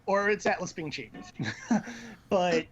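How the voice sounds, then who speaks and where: Opinionated gaming stream co-host, mid-pitched voice, informal and a little sweary, dull lo-fi chat audio. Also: slow pace, husky. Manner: informal, opinionated, mildly profane.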